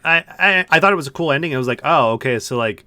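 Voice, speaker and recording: A man's voice: a little high, expressive, rapid-fire, bright, forceful tone, no room sound. A man hosting a podcast, chatting informally and conversationally about video games. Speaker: podcast host. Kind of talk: casual video game discussion.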